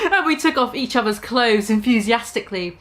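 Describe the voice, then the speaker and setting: A woman's voice: fairly high pitch, a bit bright. A woman reading a story aloud as comic dramatic narration, theatrical and amused, barely keeping a straight face.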